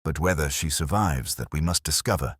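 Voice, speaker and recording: Male reader as cool commanding detective for mystery audiobook: very low voice, calm, precise, dry sound. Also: a little fast, breathy edge, dry recording. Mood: quietly urgent. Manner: calm, steady, authoritative.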